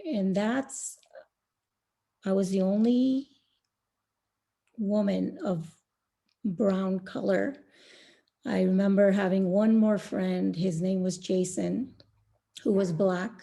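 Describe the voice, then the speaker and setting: Slow, gravelly-voiced woman panelist. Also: medium pitch, fairly even intonation, mellow. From India. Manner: slow, thoughtful, with many pauses and fillers. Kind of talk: online panel remarks. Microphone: video call.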